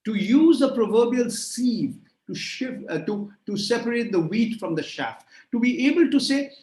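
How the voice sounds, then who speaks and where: A man's voice: extremely high pitch, a little mellow, roomy sound, poor audio. A man in his sixties answering audience questions in a lecture, emphatic and rhetorical, piling up phrases in lists. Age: 60s. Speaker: guest speaker. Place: remote call from a room.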